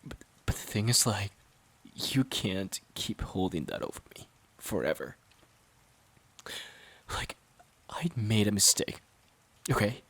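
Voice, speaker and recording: A man playing a worn-out, resentful boyfriend in an audio drama, pleading in a halting, strained way. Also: lowish voice, animated, fairly bright tone, breathy edge, exaggerated voice. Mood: defensive, pleading. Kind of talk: dramatic audio roleplay monologue.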